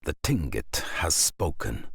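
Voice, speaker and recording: A grave old Norse storyteller, a man with an extremely deep, creaky voice. He unfolds a game's saga narration solemnly and slowly, with ominous weight and a husky grain. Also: very animated, fairly bright tone, dry recording, studio quality, heavily acted.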